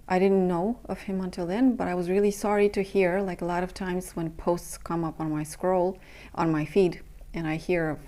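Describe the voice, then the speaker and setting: A young adult woman from Romania, a coach, speaking earnestly in a halting personal monologue with many pauses and fillers. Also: on the low side, a little mellow. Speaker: intimacy and breathwork coach.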